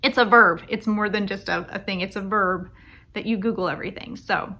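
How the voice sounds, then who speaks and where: A woman's voice: medium pitch, fairly even intonation, brisk, bright, forceful tone. A woman author chatting casually about her book, with many ums and restarts. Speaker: young adult author. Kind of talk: casual self-recorded video.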